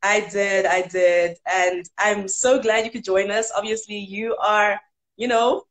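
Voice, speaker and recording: A woman's voice: mid-range voice, fairly even intonation, a little slow, low-quality recording. A young woman hosting a casual video-call interview, bubbly, friendly and playful, on a headset from a room at home. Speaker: YouTuber host.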